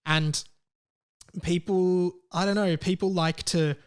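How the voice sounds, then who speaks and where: A man's voice: high voice, fairly even intonation, slow, clean tone. A man with an Australian accent giving a casual opinion monologue as a podcast host, hesitant and often questioning himself as he rambles.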